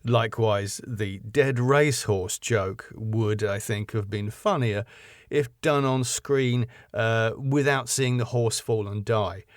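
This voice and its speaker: A man's voice: fairly low pitch, slow, no room sound, studio quality. A middle-aged man with a British accent, narrating and chatting as a jaunty, self-deprecating podcast host. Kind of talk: scripted story narration and chatty podcast outro.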